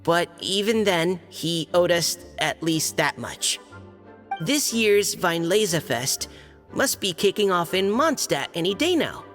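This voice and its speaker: A woman's voice: lowish voice, big pitch swings, slow. A woman voicing an earnest, shy, polite young boy knight in a video game, reading aloud carefully and formally. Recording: long hall reverb. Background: background music.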